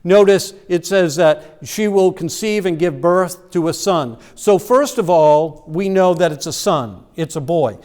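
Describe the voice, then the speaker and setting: A man's voice: sits high, measured pace, few pauses, darkish tone. A man preaching as pastor, explaining scripture in a conversational, teaching way with fillers and restarts. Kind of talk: sermon. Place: church sanctuary. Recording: long church reverb.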